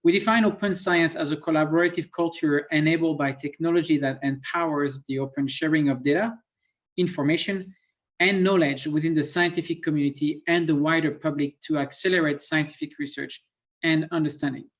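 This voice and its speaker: A man with a French accent, a native French speaker, giving an online science presentation with many fillers and pauses. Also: on the high side, fairly even intonation. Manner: thoughtful, self-deprecating, many fillers. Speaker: guest lecturer. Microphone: computer mic on a video call. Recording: muffled lo-fi call audio.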